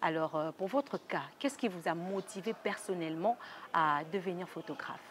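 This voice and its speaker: Lady hosting a tv talk show, formal and polite, bit of a hiss behind her. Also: fairly low pitch. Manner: formal greetings, some halting restarts. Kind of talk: formal TV interview. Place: TV studio.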